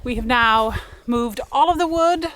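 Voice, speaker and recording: A woman's voice: a little high, unhurried, fairly bright tone, a little hiss or hum. A woman, chatting casually and upbeat while vlogging outdoors by a cabin. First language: Swedish. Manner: chatty, upbeat, light laughs. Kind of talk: casual vlog narration.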